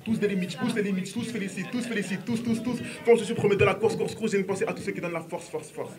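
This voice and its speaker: A male artist answering press questions in a relaxed, repetitive way, with laughing asides. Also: high-pitched, quick pace, distant mic, crowd noise. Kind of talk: casual press conference answers.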